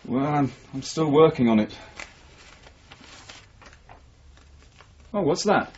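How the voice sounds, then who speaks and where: Detective sergeant: husky, expressive pitch, unhurried probing, eighties radio drama on a muffled recording. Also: male voice. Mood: inquiring, dry. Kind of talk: 1980s radio drama acting.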